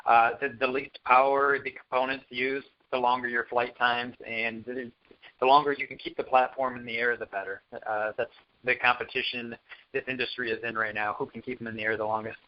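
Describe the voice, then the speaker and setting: A man talking on a conference call in a halting, matter-of-fact way. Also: medium pitch, little pitch movement. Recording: dull lo-fi phone line.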